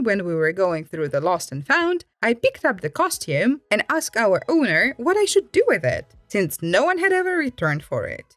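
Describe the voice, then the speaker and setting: A woman narrates stories in an informal, expressive storytime style, with a fairly high voice that rises and falls a lot. The recording is close and dry, and she sounds like an animated host talking straight to listeners. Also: dry recording. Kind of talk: expressive storytime read-aloud with personal reactions. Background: background music.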